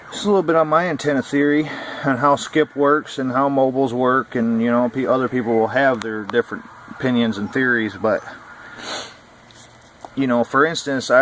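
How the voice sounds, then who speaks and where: A man of ordinary pitch talks through a hobby topic in an off-the-cuff, rambling way, circling back and repeating points with plenty of fillers. A faint hum of a vehicle or ambience sits under the voice. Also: a bit bright, low-quality recording. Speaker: CB radio hobbyist vlogger. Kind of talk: casual explainer talk.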